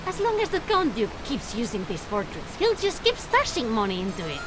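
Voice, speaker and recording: A high, spirited swashbuckling heroine. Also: female voice, fairly quick, background noise. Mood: defiant. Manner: sassy, animated. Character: bold, spirited young swashbuckling heroine, playfully defiant. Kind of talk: animated video game acting.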